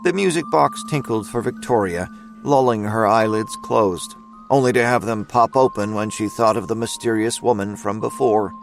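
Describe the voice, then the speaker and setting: A man's voice: mid-range voice, vocal fry, natural voice. A man narrating a scripted audio drama as its storyteller.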